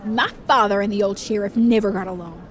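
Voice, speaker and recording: A woman's voice: mid-range voice, fairly quick, close to an everyday voice. A woman playing a resentful, evasive outlaw forger in a western audio drama, theatrical in delivery, with background noise. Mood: bitter. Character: resentful, shifty Wild West outlaw forger.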